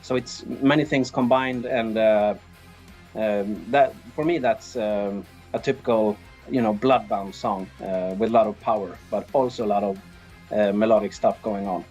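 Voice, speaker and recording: A man from Sweden with a Swedish accent, answering interview questions casually as a band's drummer, often pausing to think. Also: fairly low pitch, fairly even intonation, a little mellow, dull audio, soft background music. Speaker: band drummer, interview guest.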